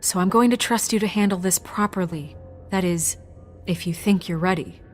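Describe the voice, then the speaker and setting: An actress playing a serious woman in authority in a video game, measured and businesslike. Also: lowish voice, faint music behind, lightly acted.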